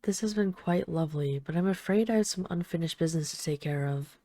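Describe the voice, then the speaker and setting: A woman acts out an immortal vampire lady in an intimate audio drama. Her voice is low and velvety dark, soft and never rushed. She is tender and wistful, with the gentle condescension of someone used to giving orders. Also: dry recording, studio quality, lightly acted. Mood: gently commanding. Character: ageless female vampire, boss's daughter, tender, wistful and commanding. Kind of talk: intimate scripted audio roleplay.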